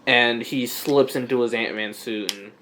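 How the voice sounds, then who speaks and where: A man co-hosting a comic-book podcast, chatting casually and hesitantly, with frequent pauses and restarts. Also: mid-range voice, bright, forceful tone, slight background noise, low-quality recording. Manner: hesitant, lots of uh and false starts. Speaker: podcast co-host.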